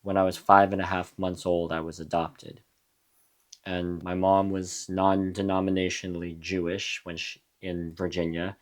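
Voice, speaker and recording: A young adult man from New Mexico, a musician guest in a casual interview, talking in a reflective, halting way with frequent restarts. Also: sits low, flat delivery. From USA (New Mexico).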